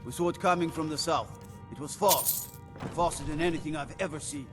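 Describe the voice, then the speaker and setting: High-voiced rattled young man acting a guard. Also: fairly quick, lightly acted. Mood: frightened. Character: earnest, rattled young male town guard. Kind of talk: video game character dialogue. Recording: loud music behind.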